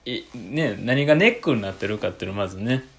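A man co-hosting a casual advice chat, speaking politely in halting bits with many short affirmations. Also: medium pitch, animated. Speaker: podcast co-host giving advice.

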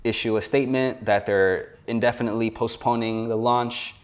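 A young man from South Florida, a tech journalist guest on a podcast, talking in a relaxed, rambling way and laughing often. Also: medium pitch, normal speed. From South Florida, USA. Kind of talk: casual conversation.

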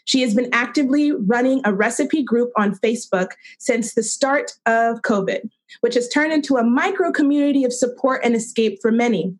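A woman's voice: fairly high pitch, moderate pace. A woman hosting a storytelling event, formally reading out a speaker's introduction and list of credentials. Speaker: event host.

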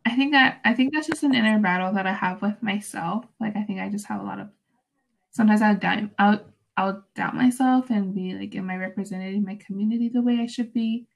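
A woman's voice: medium pitch, fairly quick, vocal fry. A young woman student with Spanish as her first language speaks on a panel in a mid-range voice. She talks reflectively, full of fillers and restarts. It sounds casual and unscripted. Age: young adult.

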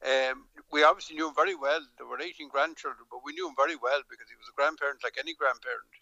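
A man's voice: on the high side, fast, pushed and bright, no room sound, highs cut off. A middle-aged Irish man, a politician, talking in a remote interview with frequent hesitations, restarts and a storytelling manner. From Dublin, Ireland.

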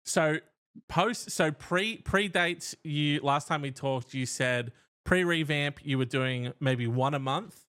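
Teasing, sardonic man, a bit high. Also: slow pace, a bit bright. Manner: teasing and sardonic, swears freely. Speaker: podcast co-host. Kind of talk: casual comedic chat.

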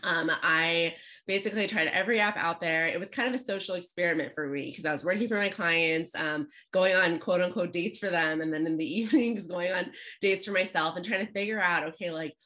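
A woman's voice: fairly low pitch, fairly quick, sharp tone. A woman from Los Angeles, a relationship coach, telling her story in a chatty, upbeat podcast interview. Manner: chatty, upbeat, frequent fillers.

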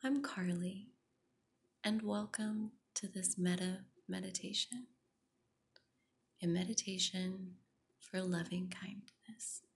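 A woman guiding a meditation in a soft, breathy near-whisper, gentle and soothing. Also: mid-range voice, slow pace, long pauses. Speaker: meditation guide, podcast host. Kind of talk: guided meditation.

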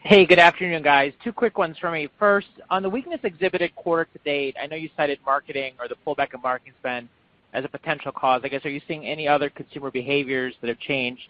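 A man's voice: a little high, fast. A man speaking as a financial analyst, putting questions to executives on an earnings call. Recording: muffled conference call line.

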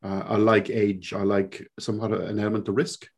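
A man around sixty speaks with a Cork Irish accent. His voice sits low, and he muses at length as a podcast guest, with plenty of ums and restarts. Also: flat delivery, moderate pace, vocal fry, husky. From Ireland. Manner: reflective, rambling with fillers. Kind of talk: conversational interview.

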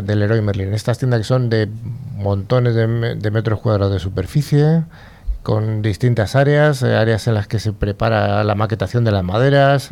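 A man's voice: mid-range voice, vocal fry, darkish tone, smooth. A man hosting a radio interview, chatty and asking leading questions. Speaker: radio host.

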